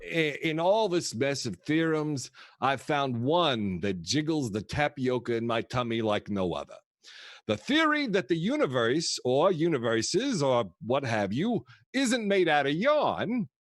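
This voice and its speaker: A man's voice: high voice, no echo, studio quality. An actor playing a kooky, affectionate old grandfather who rambles and mutters theatrically in a comic play. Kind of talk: comic stage monologue and dialogue.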